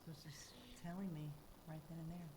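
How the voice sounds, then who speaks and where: An older grandmother chatting as a guest on a radio show, speaking softly and quietly. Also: deep voice, fairly even intonation, a little fast, noisy background. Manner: soft and quiet, chuckling. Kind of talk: casual chat on radio.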